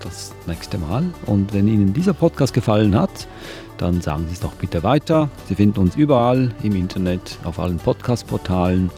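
A man hosting a radio podcast, chatting in a relaxed way with occasional filler pauses. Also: fairly low pitch. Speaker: podcast host.

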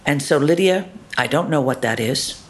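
A woman actor chats through an interview in a lively way. She swings from loud, emphatic asides to hushed, half-voiced murmurs. She keeps tossing in tag questions like "doesn't it?". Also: deep voice, moderate pace. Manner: animated, shifting between loud asides and soft murmurs. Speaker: actor interviewed about her role. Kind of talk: conversational interview.

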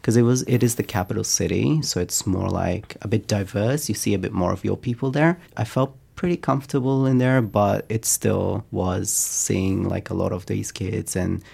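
Young man with a low, gravelly voice. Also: normal speed, few pauses. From Afghanistan. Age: young adult. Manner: relaxed, reflective, with frequent fillers. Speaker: interview guest. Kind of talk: casual conversational storytelling.

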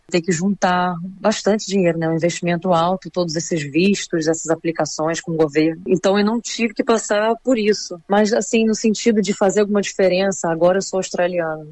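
A woman's voice: lowish voice, a little mellow, dry recording. A Brazilian woman being interviewed, talking reflectively and with feeling, full of little fillers. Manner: reflective, emotional, many fillers like "assim" and "sabe". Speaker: interviewee, returning immigrant. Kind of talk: casual conversational interview.

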